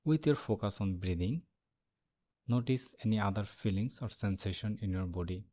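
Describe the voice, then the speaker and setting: A man leading a guided meditation as the instructor, speaking in a calm, measured way with long pauses. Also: fairly low pitch.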